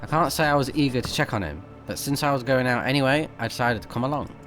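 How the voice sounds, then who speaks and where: A man's voice: mid-range voice, quick pace, fairly bright tone, faint music behind. A man recording a let's play, switching between casual off-the-cuff commentary and reading game text aloud. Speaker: let's player, game streamer.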